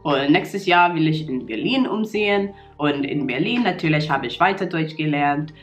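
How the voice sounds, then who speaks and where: A woman's voice: low voice, lively intonation, normal speed. A woman in her twenties from New York with an American English accent, talking casually to the camera in learner's German with small slips and fillers. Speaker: vlogger. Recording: roomy echo, soft guitar music.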